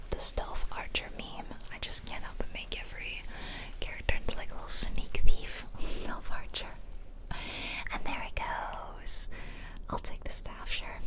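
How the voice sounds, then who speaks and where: Girl gamer doing asmr, all whispers, unhurried, casual little jokey asides, super close mic. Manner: playful, casual murmured asides. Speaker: gaming streamer. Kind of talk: whispered ASMR game commentary. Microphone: close ASMR microphone, ear to ear.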